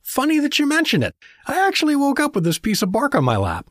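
An actor playing a bewildered, wisecracking average dad, flustered, hesitant and sarcastic, in a fantasy comedy audio drama. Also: male voice, extremely high pitch, wildly swooping pitch, fast, a bit bright, no echo, clean studio sound, heavily acted. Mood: hesitant, agreeable. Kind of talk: audio fiction comedy acting.

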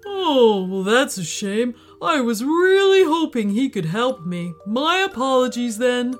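A friendly young-at-heart dinosaur, played by a woman, talks in a raised, very lively voice. It is chatty and impeccably polite, a little bashful, like a character from a picture book. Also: a little high, measured pace, faint music behind. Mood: apologetic. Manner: courteous, expressive, slightly bashful.

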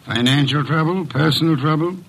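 An actor playing a curt, imperious gourmet detective boss in a classic radio drama, with pompous, precise, formal diction. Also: male voice, on the high side, a little fast, vocal fry, a bit bright, rough recording. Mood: curt, dismissive.

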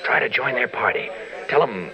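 An American man acting a brisk, determined investigator in an old-time radio drama, speaking with clipped, urgent, businesslike delivery. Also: medium pitch, natural voice, rough recording. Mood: urgent, scheming. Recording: vintage radio recording with crowd murmur.

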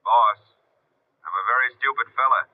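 A man's voice: mid-range voice, normal speed, lightly acted. An actor playing a wisecracking American detective's legman in a 1950s radio drama, with a breezy, slangy, wry manner. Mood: sheepish, puzzled. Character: wisecracking private detective's legman, brisk, self-mocking. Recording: muffled, band-limited old radio recording.

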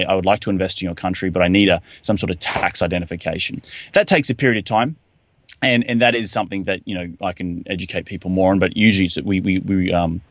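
A man's voice: low voice, dry recording. A man with an Australian accent, a real estate investor talking eagerly as a podcast guest, with many restarts. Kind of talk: podcast interview.

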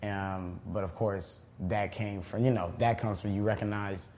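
Laid-back young comedian, low voice. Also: male voice, steady tone, a little slow, a little mellow. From Los Angeles, USA. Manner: laid-back, rambling with many fillers. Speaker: stand-up comedian, guest. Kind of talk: casual interview chat.

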